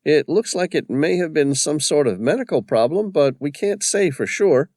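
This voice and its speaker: A man's voice: fairly high pitch, lively intonation, normal speed, a little mellow, dry recording, studio quality, pitched above the performer's own voice. A male narrator voicing a wry, practical woman paramedic in a storybook reading. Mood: professional.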